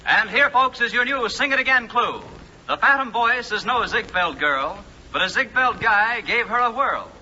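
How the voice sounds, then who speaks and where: A brisk male quiz-show announcer in 1940s radio style. His voice is very high and piercingly bright, on a dull recording. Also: lively intonation, slight background noise, exaggerated voice. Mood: showy.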